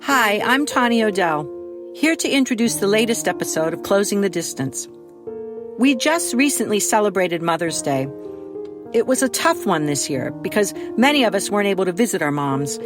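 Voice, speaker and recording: A woman's voice: medium pitch, big pitch swings, moderate pace. Earnest woman introducing, music under. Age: middle-aged. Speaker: author introducing the episode. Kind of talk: scripted introduction.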